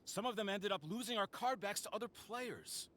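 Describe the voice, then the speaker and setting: A man's voice: high voice, normal speed, bright, forceful tone, slight background noise. An actor playing an indignant, blustering bandit henchman in a video game, informal and defensive. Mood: exasperated.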